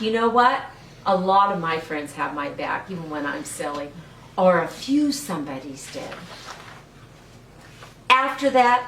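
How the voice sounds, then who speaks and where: A woman's voice: medium pitch, pushed and bright. An older woman hosting a children's story time, reading and chatting playfully and encouragingly in simple words for small children. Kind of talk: read-aloud storytelling with chat to young children. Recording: roomy, distant mic with faint noise.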